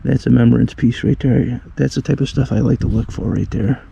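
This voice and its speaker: A man from Western New York with a hoarse voice from a cold, narrating his metal detecting finds outdoors in the snow, rambling and excited over each relic. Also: mid-range voice, fairly quick, slight background noise. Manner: rambling, enthusiastic about finds, many fillers. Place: outdoors in a snowy old park.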